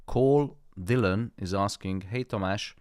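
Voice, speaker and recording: A man's voice: on the low side, unhurried, a little mellow, smooth, dry recording, clean studio sound. A man hosting a live trading stream, chatting casually, swearing freely and explaining the market at length.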